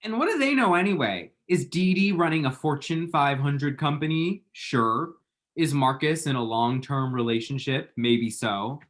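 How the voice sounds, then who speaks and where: A man's voice: fairly high pitch, normal speed, a bit bright, lightly acted. An actor playing an anxious, lovestruck young man in a screenplay table read, chatty, emphatic and self-dramatizing. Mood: defiant, hopeful.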